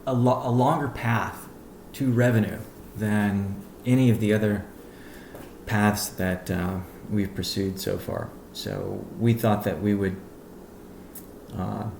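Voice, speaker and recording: A man speaking as a company chief executive on a conference call, answering questions in a measured, deliberate, halting way. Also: on the low side, steady tone, creaky, darkish tone, echoey room, slight background noise.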